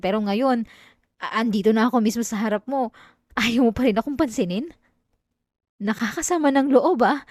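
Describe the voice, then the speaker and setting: A woman's voice: fairly high pitch, a little mellow, dry recording. A young woman with a Filipino accent voicing an obsessive, possessive female classmate in an intimate, dramatic ASMR roleplay, addressing the listener up close. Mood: sulky, pleading. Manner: intimate, pleading turning coldly threatening, dramatic. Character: obsessive yandere female classmate, clingy, wounded, menacingly possessive. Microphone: close microphone, dry sound.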